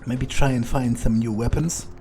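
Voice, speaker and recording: Man, mellow dark tone, hesitant musing, in-character gameplay commentary, faint game music behind. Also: medium pitch, fairly even intonation, normal speed. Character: calculating, detached vampire mercenary for hire. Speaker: player voicing their character in a let's play.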